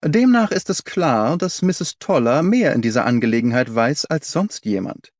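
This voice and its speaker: A man voicing a shrewd, composed gentleman detective in an audiobook story, speaking formally and courteously as he reasons. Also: medium pitch, lively intonation, moderate pace, no echo, studio quality, natural voice. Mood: probing, courteous.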